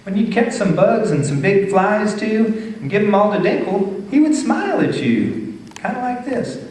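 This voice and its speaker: A man's voice: high voice, sing-song, normal speed, darkish tone. A man with a folksy Texan drawl giving a playful, dramatic live poetry reading for children and families. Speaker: storytelling poet performer. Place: live reading in an echoey room. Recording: echoey room, distant mic, faint noise.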